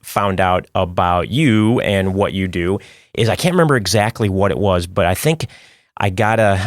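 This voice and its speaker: A man's voice: deep voice, no echo, studio quality. A man hosting a podcast, chatting casually and rambling with repeated words and restarts. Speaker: podcast host. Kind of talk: casual interview chat.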